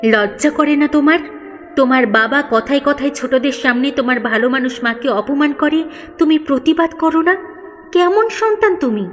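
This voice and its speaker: A woman's voice: sits high, expressive, moderate pace, voice pushed up. A woman storyteller voicing a bold, indignant young daughter-in-law who asks pointed, challenging questions. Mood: reproachful. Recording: long reverb, background music.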